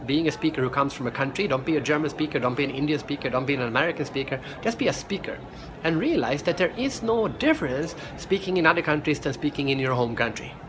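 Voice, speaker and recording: A man's voice: a little high, background noise. A middle-aged man from Sweden, a professional speaker, talking energetically in a casual vlog and often restarting and repeating words. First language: Swedish.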